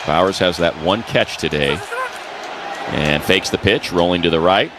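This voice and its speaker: A man's voice: low voice, moderate pace, a crowd in the background, background noise. A man doing live football play-by-play on the radio. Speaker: play-by-play announcer. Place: open stadium broadcast booth. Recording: loud stadium ambience behind. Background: loud stadium ambience.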